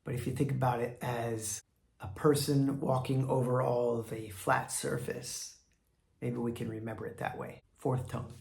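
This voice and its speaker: A man's voice: mid-range voice, little pitch movement, moderate pace, creaky, a little mellow, breathy edge. A man, middle-aged, hosting a casual, chatty vlog that teaches language with rambling asides. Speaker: video host teaching Chinese characters.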